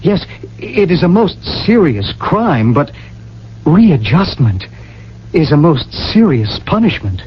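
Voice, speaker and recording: A genteel, earnest advocate in radio drama style. His voice is a little high and very animated, with formal phrasing. The old recording is dull with faint noise. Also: takes it slowly, breathy edge, heavily acted. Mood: earnest pleading. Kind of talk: 1980 radio drama acting.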